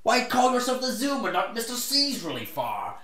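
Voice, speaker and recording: A man's voice: very high-pitched, normal speed, crowd noise, heavily acted. Short-tempered superhero played by a man, frustrated and indignant, swooping intonation, roomy echo, comic sketch. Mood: indignant ranting. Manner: ranting, indignant, hesitant when calm. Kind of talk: comedy sketch storytelling. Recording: echoey room.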